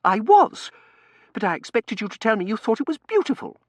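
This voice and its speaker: A man's voice: very animated, brisk, no room sound, exaggerated voice. A man voicing a spoiled, petulant young lady in an audiobook, indignant and imperious. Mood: petulant, demanding. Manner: shrill, indignant, imperious, gushing. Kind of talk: audiobook character voice.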